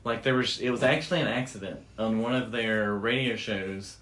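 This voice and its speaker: A man's voice: on the low side, normal speed, fairly bright tone. A man hosting a casual talk show, explaining things in a conversational, enthusiastic way. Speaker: podcast host. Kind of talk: casual chat about radio history.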